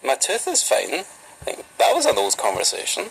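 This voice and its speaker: Teasing Glaswegian man, gravelly voice. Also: mid-range voice, wildly swooping pitch, brisk, a bit bright, slight background noise, rough recording. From Glasgow, Scotland. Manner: flirty, joking, teasing, mock-serious. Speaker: vlogger. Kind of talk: playful casual chat.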